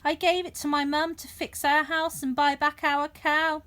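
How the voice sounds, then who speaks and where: A woman's voice: high voice, flat delivery, moderate pace, sharp tone, voice pushed up. A woman voicing a small, cheeky boy in a storybook, eager and pleading.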